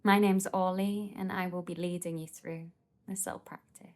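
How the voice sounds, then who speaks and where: A woman leading a guided meditation in a gentle, soothing, unhurried manner with pauses between phrases. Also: lowish voice, little pitch movement.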